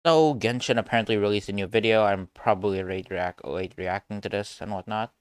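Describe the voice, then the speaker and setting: A man's voice: lowish voice, brisk, clean tone, no room sound, studio quality. A man doing casual live reaction commentary as a game streamer, rambling and thinking aloud.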